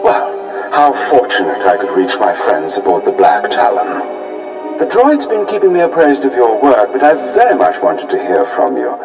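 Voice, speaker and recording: Smug posh-mannered empire commander, animated, echoey, video game music behind. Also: male voice, on the low side, normal speed. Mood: smooth greeting. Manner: suave, self-assured, formal. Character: smug, genteel male imperial Grand Moff commander. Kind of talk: scripted video game dialogue. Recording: echoey transmission with music underneath.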